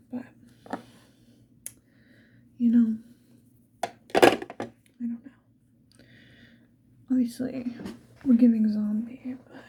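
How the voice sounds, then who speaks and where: A woman's voice: on the high side, muffled recording, heavy background noise. Whispering ASMR woman, casual and playful. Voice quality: breathy, mostly unvoiced whisper. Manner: casual, playful, chatting to the viewer. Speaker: ASMR video creator. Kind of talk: whispered ASMR get-ready-with-me chat.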